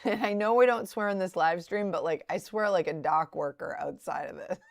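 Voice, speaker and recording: A woman's voice: lowish voice, normal speed, gravelly, dry recording. Woman, light Boston accent, middle-aged, day-trading livestream, thinking aloud, laughing asides, dry close sound. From Boston area. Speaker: day trader livestream host. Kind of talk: live commentary while trading.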